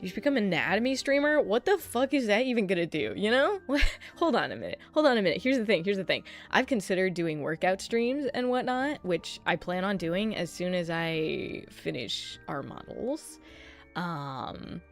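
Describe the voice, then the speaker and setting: A woman's voice: medium pitch, sing-song, moderate pace. Chatty, swearing woman streamer. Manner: chatty, swears often, laughs a lot, reads chat aloud. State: sleepy voice. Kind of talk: casual livestream chat while setting up a 3D model. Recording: faint music underneath.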